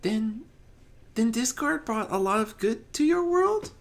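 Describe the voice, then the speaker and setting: Man putting on a high, timid, sweet girl pony. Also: big pitch swings, a little slow, creaky, full character voice. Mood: hesitant, polite. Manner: meek, gentle, hesitant. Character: timid, sweet, polite young female pony. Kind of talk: fan fiction audiobook character reading.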